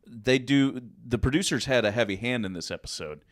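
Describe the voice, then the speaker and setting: A man who produces a podcast, chiming in with opinions in a halting, casual way. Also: mid-range voice, lively intonation, no echo, clean studio sound.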